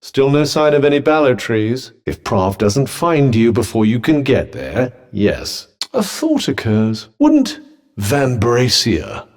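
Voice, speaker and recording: Sardonic, refined British magical cuff. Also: male voice, mid-range voice, wildly swooping pitch, slow pace. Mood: advising, wry. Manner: dry, wry, sarcastic. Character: sardonic, haughty, erudite talking magical cuff. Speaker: voice actor in a fantasy video game. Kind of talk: scripted game companion dialogue.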